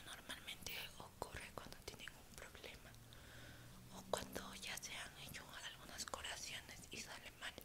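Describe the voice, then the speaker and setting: Young woman whispering softly, ASMR roleplay. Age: young adult. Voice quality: breathy whisper. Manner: soft, reassuring, unhurried. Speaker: ASMR roleplayer playing a dentist. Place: small indoor room.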